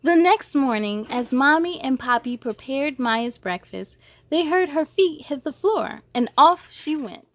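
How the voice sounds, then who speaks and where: A woman's voice: high-pitched, lively intonation, measured pace, dry recording. A woman reading a children's picture book aloud expressively, adding short asides to listeners as a storyteller.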